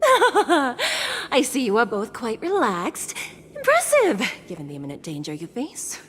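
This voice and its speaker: A woman's voice: fairly high pitch, huge pitch swings, measured pace, fairly bright tone, slight background noise, full character voice. A woman voicing a composed, formal official in a video game, courteous and businesslike. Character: composed, formal female official guiding contestants.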